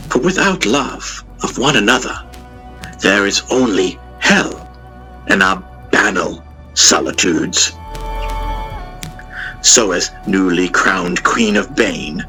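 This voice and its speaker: Man voicing a solemn, slow-speaking queen. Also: low voice, expressive, sharp tone, soft background music, heavily acted, low-quality recording. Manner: solemn, declamatory. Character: newly crowned queen giving a solemn, resolute proclamation. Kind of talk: formal oratory in an audio drama.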